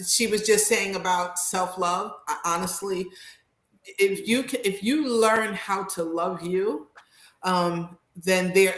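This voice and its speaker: A woman in her fifties giving candid, conversational advice on a panel, emphatic and repeating phrases to drive points home. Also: medium pitch. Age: 50s. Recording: roomy echo.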